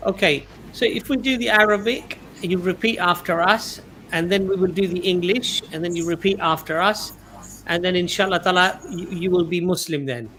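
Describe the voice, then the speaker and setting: Patient, higher-voiced man hosting a stream. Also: normal speed, sharp tone, slight background noise, rough recording. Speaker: host. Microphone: video call.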